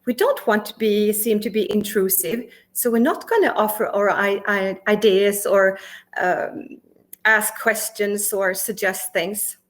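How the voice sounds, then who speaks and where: A middle-aged woman from Sweden gives a prepared conference talk in English. She speaks with emphasis, asks the audience questions, lists words one at a time with pauses, and makes small grammar slips and restarts. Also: medium pitch. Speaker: conference speaker and workplace consultant.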